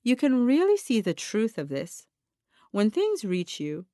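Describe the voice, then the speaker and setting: Female audiobook narrator for self-help material; expressive, varied pitch, deliberate instructive read, dry studio-like sound. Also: no room sound. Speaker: audiobook reader.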